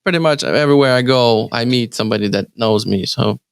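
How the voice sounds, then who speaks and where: Ukrainian-accented man, smooth dry voice. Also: medium pitch, no room sound. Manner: halting, many restarts and fillers. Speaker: real estate team leader, guest. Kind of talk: casual interview answers.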